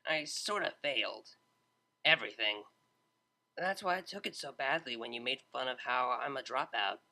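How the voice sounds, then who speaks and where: A man's voice: medium pitch, moderate pace, sharp tone, poor audio. A man voicing a laid-back young female unicorn DJ, talking in a casual, slangy, self-mocking way. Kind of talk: fan audiobook character voice.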